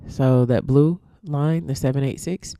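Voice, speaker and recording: A woman's voice: very deep voice, measured pace, warm tone, no echo, clean studio sound. A congested woman teaching forex trading, walking through a chart casually and thinking aloud with ums and restarts.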